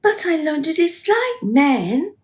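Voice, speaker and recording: A woman's voice: sits high, sing-song, slow pace, exaggerated voice. A woman playing a dutiful, unhappy dead woman in a radio play, plaintive, earnest and eager to please. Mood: dismayed.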